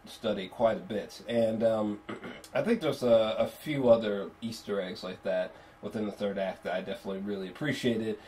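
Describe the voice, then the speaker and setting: A man giving a casual spoken movie review, swearing freely and repeating phrases for emphasis in an animated, emphatic way. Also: medium pitch. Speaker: film reviewer.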